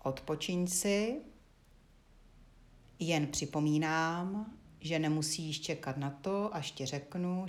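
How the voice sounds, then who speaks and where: A woman with a fairly low voice leads a guided movement lesson. She speaks as an instructor, giving short directions and leaving frequent pauses between them. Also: darkish tone. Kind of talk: guided Feldenkrais body-awareness lesson, spoken instructions.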